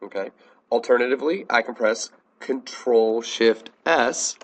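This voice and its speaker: An American man teaching a software tutorial in a plain, step-by-step instructional manner. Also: mid-range voice, measured pace. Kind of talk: instructional screencast narration.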